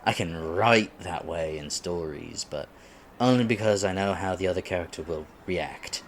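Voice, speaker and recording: A man's voice: low-pitched, normal speed, a little hiss or hum. A man acting in a roleplay audio drama as an awkward, geeky man on a blind date, stammering, flustered and self-deprecating. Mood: playful, shy.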